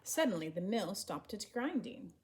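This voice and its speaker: A woman reading a children's story aloud in an expressive, animated way, acting out the dialogue. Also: medium pitch, moderate pace, a little hiss or hum. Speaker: storybook reader.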